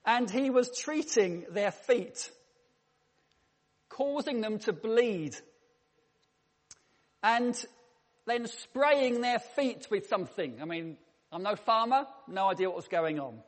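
A man's voice: high voice, expressive, slow pace, long pauses. A man preaching a sermon in a church, telling stories with a dry, humorous touch. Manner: humorous anecdotal storytelling. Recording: long reverb in a church hall.

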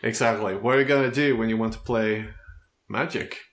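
A man's voice: lowish voice, steady tone, fairly quick, fairly bright tone. A man in his thirties with a Swedish accent, a native Swedish speaker, chatting casually and thinking aloud as he talks about himself. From Sweden. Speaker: podcast speaker telling his background. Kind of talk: casual conversation.

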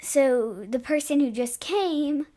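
A woman's voice: high-pitched, slow, warm tone. A woman co-hosting a podcast, chatting casually, questioning and interjecting as she reacts. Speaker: podcast co-host. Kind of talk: casual chat, reacting to comics.

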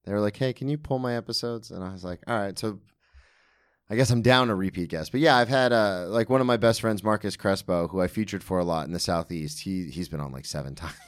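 A man's voice: on the low side, quick pace, clean tone, no room sound, studio quality. Loose, rambling young male podcast host. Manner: loose, rambling, filler-heavy. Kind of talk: casual interview chat. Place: small studio at a table.

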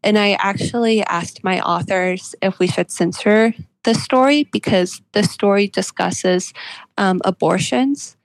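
Woman guest author in an interview, earnest and measured, vocal fry, close dry sound. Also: mid-range voice, no echo, low-quality recording. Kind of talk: reflective interview conversation.

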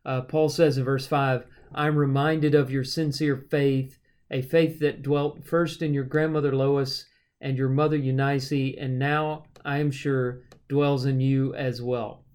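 A man of middle pitch leads a conversational Bible study on a podcast. He explains each point patiently and informally. His talk is loose and full of small fillers. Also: measured pace. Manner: relaxed and explanatory, with frequent fillers.

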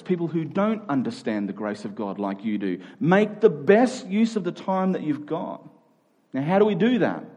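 Australian man preaching, higher-pitched voice. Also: a little mellow, slightly rough. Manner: informal, joking with the congregation, rhetorical questions. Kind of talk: conversational sermon. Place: live before a congregation.

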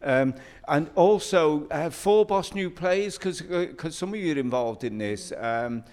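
A man's voice: a little high, animated, a little slow, darkish tone. A man co-hosts a theatre podcast in casual chat. He encourages his guests and wanders through plenty of fillers as he talks. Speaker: podcast host.

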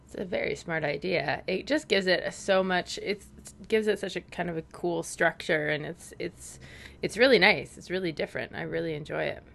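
Low gravelly Canadian woman, chatty. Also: moderate pace, a bit bright. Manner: chatty, lots of "like", hesitant restarts. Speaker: podcast co-host. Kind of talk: casual chat.

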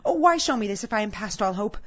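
A woman's voice: medium pitch, a little fast, muffled recording, close to an everyday voice. A woman voicing a frightened, repentant old man in a storybook, pleading in fervent exclamations. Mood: desperate, repentant.

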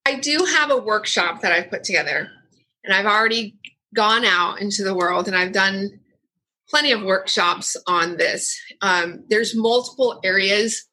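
A woman's voice: medium pitch, a little slow, bright, forceful tone, husky, low-quality recording. A woman in her forties, an author and workshop leader, answering interview questions in an earnest, effusive way with many restarts, over a video call.

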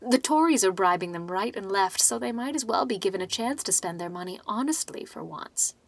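A woman's voice: medium pitch, expressive, a little fast, vocal fry, no room sound. A woman voicing a gossipy, opinionated middle-aged village matron who chatters on. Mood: chatty.

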